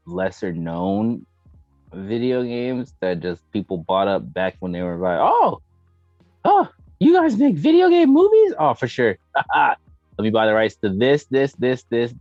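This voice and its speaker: Animated, slangy man with lively pitch. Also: no room sound, dull audio. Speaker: co-host of a gaming talk show. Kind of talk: casual chat, acting out quotes.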